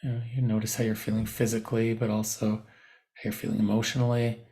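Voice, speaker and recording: Gravelly-voiced man guiding meditation. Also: on the low side, monotone, fairly quick, mellow. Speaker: meditation guide. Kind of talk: guided body scan meditation, unscripted spoken instruction.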